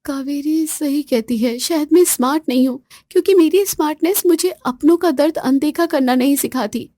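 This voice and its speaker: A woman doing a soft, weary young housewife. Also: on the high side, animated, normal speed, no echo, clean studio sound, close to an everyday voice. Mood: quiet. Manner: soft, quietly reproachful. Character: patient, put-upon young sister-in-law, quietly wry.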